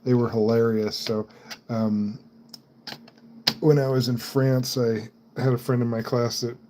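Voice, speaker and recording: Man, mid-pitch, casual livestream chat, laughs often, hands busy, faint background noise. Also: level tone, unhurried. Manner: chatty, laughs often, talks while handling parts. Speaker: livestream host building computers. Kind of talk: casual chat while working.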